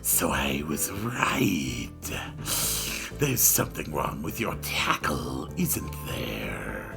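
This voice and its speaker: Man voicing a languid, breathy, odd teenage girl. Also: extremely low pitch, music in the background, pitched below the performer's own voice, heavily acted. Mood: matter-of-fact probing. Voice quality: breathy, half-whispered, slithery. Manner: slow, drawn-out, deadpan.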